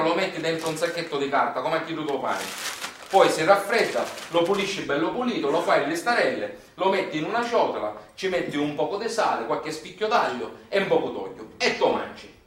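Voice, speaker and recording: A man's voice: on the high side, fairly bright tone, slight background noise. Echoey, distant Sicilian man storytelling. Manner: folksy, colloquial with dialect words. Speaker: stage storyteller. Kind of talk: theatrical monologue. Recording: very echoey room, distant mic.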